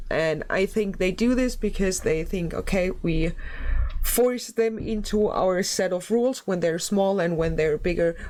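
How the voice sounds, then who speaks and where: Slow-talking woman, a little under the weather. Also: mid-range voice, darkish tone, slight background noise, rough recording. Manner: chatty, giggly, apologetic. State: slightly sick, voice a bit off. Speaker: YouTube commentary host. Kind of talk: casual talk to camera.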